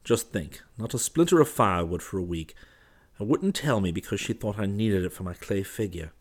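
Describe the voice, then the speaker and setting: A man voicing an earnest, timid young artist in love in an audiobook, speaking emotionally and haltingly. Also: fairly low pitch, animated. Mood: tender, earnest.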